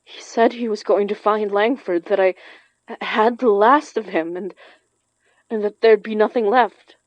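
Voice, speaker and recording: A woman playing a shaken, earnest young summoner who speaks haltingly and urgently in an audio drama. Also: medium pitch, no echo, lo-fi sound, natural voice. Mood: haunted, fearful.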